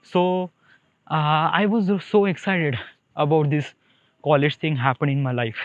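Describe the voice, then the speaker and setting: A man's voice: on the high side, steady tone, a little slow, no echo. A young man with an Indian accent rambles casually in a monologue, hesitating and repeating himself as he searches for words. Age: young adult. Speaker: solo podcaster. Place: outdoors beside a highway, walking. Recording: thin phone-line-like sound.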